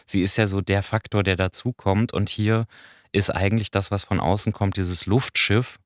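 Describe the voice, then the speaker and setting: A man's voice: lowish voice, steady tone, moderate pace, no room sound. A man talks through a review as a podcast co-host. He explains things conversationally, adding small qualifying asides, and the sound is close and dry with no room on it. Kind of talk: conversational radio play review.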